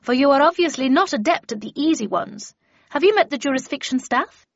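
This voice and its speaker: A woman's voice: high voice, expressive, fairly quick, dry recording, muffled recording, pitched above the performer's own voice. A woman voicing an imperious, irritable older woman mentor with a brusque, scornful, commanding manner in an audiobook. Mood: angry, impatient.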